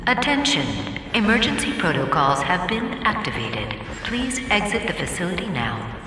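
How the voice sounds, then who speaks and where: A booming, echoing alarm computer. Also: female voice, very animated, normal speed, noisy background. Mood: urgent, impersonal. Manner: formal announcing. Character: female facility alarm computer, urgent and impersonal. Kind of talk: automated emergency announcement. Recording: very echoey distant facility speakers, loud music.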